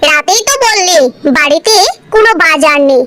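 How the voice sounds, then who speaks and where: A performer voicing a stubborn, exasperated little bird husband in an animated cartoon, bickering and indignant. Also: very high-pitched, moderate pace. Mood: annoyed, bickering. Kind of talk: Bengali animated cartoon dialogue.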